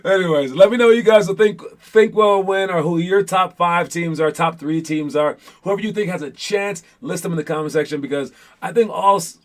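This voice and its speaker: A man's voice: sits high, a little fast, hardly any pauses. A man hosting a basketball show as casual chat with viewers, talking in an informal, rambling way with playful teasing.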